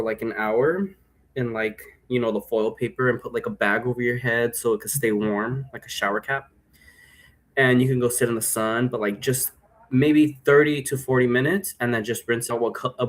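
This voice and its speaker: A man hosting a solo vlog, talking in a chatty, informal, meandering way. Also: mid-range voice. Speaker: host. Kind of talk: casual rambling solo vlog. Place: indoor room at home.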